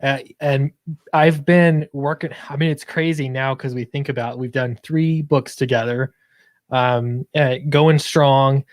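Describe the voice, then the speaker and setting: A man's voice: medium pitch, steady tone, a little slow, no echo, clean studio sound. A man chatting casually as an interview guest, upbeat but a little hesitant. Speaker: guest comic book creator.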